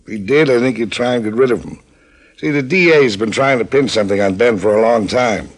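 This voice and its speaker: An American man playing a chatty police detective in an old-time radio drama, husky and a little halting as he explains things. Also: mid-range voice, natural voice. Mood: musing.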